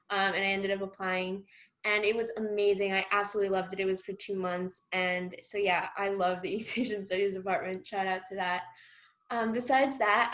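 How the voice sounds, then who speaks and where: A woman's voice: mid-range voice, fairly bright tone. A young adult woman from Peru speaking informally and enthusiastically as a student panelist, gushing and slangy. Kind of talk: informal personal account on a panel.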